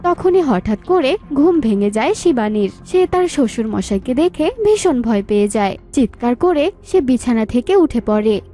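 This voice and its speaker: A woman's voice: a little high, animated, normal speed, few pauses, mellow, faint music behind. A woman reading scripted story narration.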